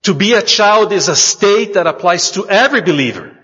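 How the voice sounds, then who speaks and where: A man's voice: high voice, animated, pushed and bright, husky. Man preaching in a hall. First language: Portuguese. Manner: measured, speaks in short phrases and pauses for the interpreter. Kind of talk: sermon with consecutive interpretation. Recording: dull recording in a large echoing hall.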